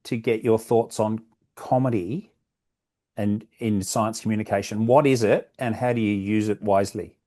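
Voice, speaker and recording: A man's voice: lowish voice, fairly even intonation, moderate pace, mellow. A man hosting a webinar, chatty and asking questions. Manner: chatty, asks questions, laughs easily. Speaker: webinar host. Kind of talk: webinar conversation. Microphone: video call.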